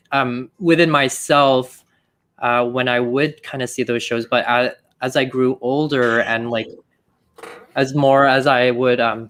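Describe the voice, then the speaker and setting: A young man from Canada speaks on a video call in an easy, middle-pitched voice. He talks slowly, pausing and hedging with fillers as he thinks aloud. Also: fairly bright tone. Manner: reflective, hesitant, heavy on "you know" and "like". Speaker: dancer. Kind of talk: casual group chat.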